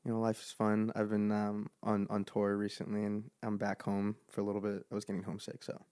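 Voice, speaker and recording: A man's voice: on the low side, completely flat delivery, a little fast, a little mellow, dry recording, studio quality. A man in his twenties, a guest musician, talking easily with lots of fillers and laughs. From United States. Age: 20s. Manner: easygoing, laughs a lot, filler-heavy. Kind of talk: casual chat.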